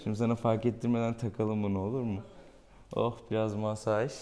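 A man's voice: on the low side, flat delivery, brisk, mellow. A man, a vet chatting casually and playfully, affectionately teasing an animal patient in a small exam room. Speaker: veterinarian presenting a clinic video. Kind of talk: casual playful chat with a pet and viewers. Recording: clear room noise behind.

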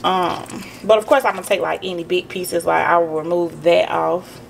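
A woman hosting a relaxed cooking tutorial in her home kitchen, chatting to her viewers with plenty of ums as she works. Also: fairly low pitch, moderate pace, creaky, slight background noise, poor audio. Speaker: cooking vlogger.

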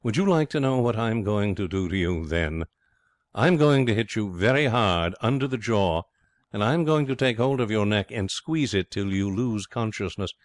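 A man's voice: on the low side, no echo, lightly acted. A man voicing an exasperated, grimly determined young man in a comic story, speaking in a curt, dry, firm manner. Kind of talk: storybook character voice in comic fiction reading.

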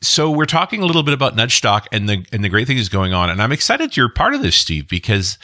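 A man's voice: mid-range voice, very animated, fast, bright, forceful tone, dry recording, studio quality. A man hosting a podcast, chatting casually as he interviews guests. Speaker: podcast host.

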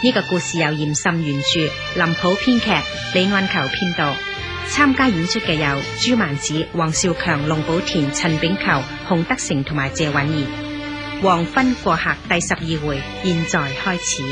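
A woman's voice: deep voice, moderate pace. A woman with a Hong Kong Cantonese accent reading a scripted radio drama announcement. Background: loud music.

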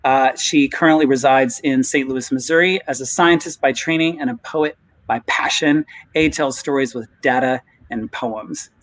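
A man's voice: mid-range voice, normal speed, fairly bright tone, rough recording. A man hosting an online poetry reading over a video call, introducing the next reader in a friendly, upbeat way. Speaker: event host.

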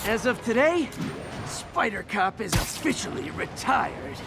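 A man's voice: high-pitched, very animated, unhurried, gravelly, loud music behind the voice, exaggerated voice. A man with an American accent playing a young, eager, nervy masked superhero in a video game, quippy and mock-dramatic. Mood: joking. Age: young adult. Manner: quippy, mock-dramatic, stammers when flustered.

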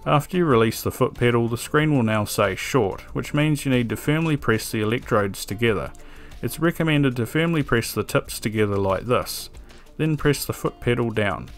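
A man giving a calm, methodical scripted voice-over for an electronics build tutorial, with a dry sense of humor. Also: mid-range voice, moderate pace. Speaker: electronics DIY video maker. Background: soft background music.

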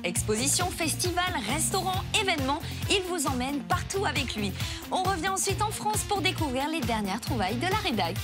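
A woman presenter reading a bright, upbeat scripted introduction to a news segment. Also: sits high, very animated, brisk, over loud music.

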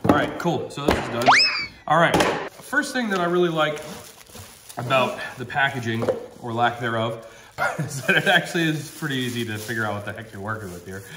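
A man's voice: medium pitch, animated, a little fast, echoey room, very noisy. A man in his thirties with a slightly nasal voice from a cold, reviewing a product in a chatty, upbeat way at a table at home. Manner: chatty, upbeat, thinks aloud with ums.

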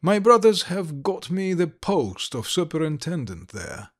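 A man reading aloud, voicing a kindly, unhappy gentleman who speaks mildly and unconcernedly. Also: fairly high pitch, sing-song, measured pace, full character voice. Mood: calm. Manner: mild, unconcerned. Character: unhappy but kindly middle-aged gentleman.

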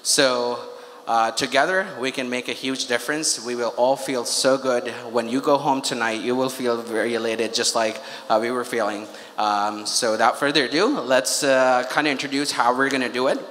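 A man works an audience as a fundraiser host. His voice is bright and forceful, and he talks conversationally, checking in with questions. It comes over a hall PA with long reverb. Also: mid-range voice, steady tone, moderate pace. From Pakistan (Karachi). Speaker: charity event host and fundraiser. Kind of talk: informal stage address to an audience. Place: large auditorium hall on stage. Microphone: handheld microphone through PA.

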